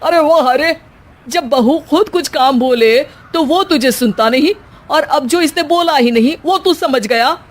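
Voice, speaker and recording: A woman's voice: sits high, measured pace, voice pushed up. A woman voicing a bossy middle-aged mother-in-law in a family cartoon, scolding and sarcastic with exclamations.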